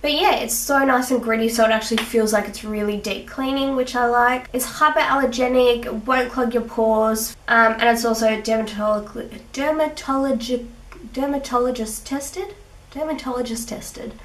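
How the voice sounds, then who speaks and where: A young woman with a sick, husky rough voice, chatting through her skincare routine with little laughs. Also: fairly high pitch, steady tone, normal speed. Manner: chatty, giggly, with fillers. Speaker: beauty vlogger. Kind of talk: casual skincare tutorial. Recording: echoey room, mic at a distance.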